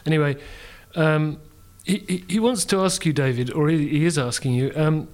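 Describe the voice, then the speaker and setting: A man's voice: fairly high pitch, moderate pace, a little mellow. A man hosting a casual panel interview in a polite, slightly hesitant manner. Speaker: host.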